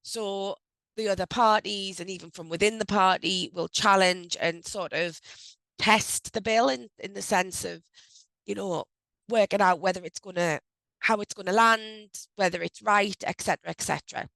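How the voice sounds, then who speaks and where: A woman hosting an online HR briefing on a video call, speaking loosely with frequent ums and self-corrections, her voice croaky as she recovers from a cold. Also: mid-range voice, normal speed, a bit bright, dry recording, low-quality recording. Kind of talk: informal presentation on a video call. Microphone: video call microphone.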